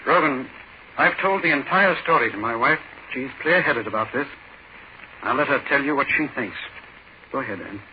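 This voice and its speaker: A man playing an agitated, commanding doctor with urgent, halting melodrama in a 1940s radio horror play. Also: medium pitch, animated, close to an everyday voice. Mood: grave. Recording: muffled, hissy 1940s radio recording.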